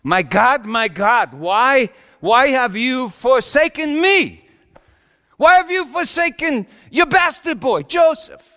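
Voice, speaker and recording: A man playing Joseph, a wry, bewildered carpenter, in a halting, colloquial and theatrically emotional dramatic monologue. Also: medium pitch, animated, a bit bright. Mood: anguished. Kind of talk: dramatic comic monologue in a sermon. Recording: echoey hall with long reverb.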